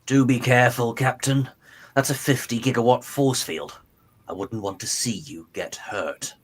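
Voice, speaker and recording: A man's voice: medium pitch, normal speed, slightly rough, close to an everyday voice. A man playing a smug, coldly obsessed mad-scientist villain in an amateur radio play, theatrical and taunting. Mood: mocking.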